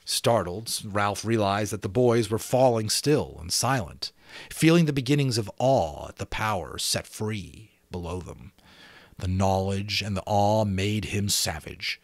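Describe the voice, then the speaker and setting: A man's voice: fairly low pitch, expressive, a little slow, no echo, clean studio sound. A man reading a novel aloud as a dramatic amateur audiobook narrator.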